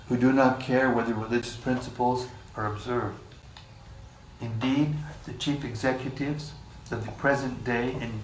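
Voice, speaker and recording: A man leading a scripture class, reading passages in a measured way and joking with the group between them. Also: medium pitch. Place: room with a live group. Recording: echoey room, distant mic, faint hiss.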